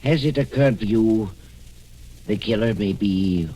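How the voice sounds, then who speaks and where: An actor playing a wary, accusing man in a 1940s radio mystery, with a husky voice. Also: lowish voice, measured pace, dark tone, rough recording. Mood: wary, suspicious. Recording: vintage 1940s radio recording.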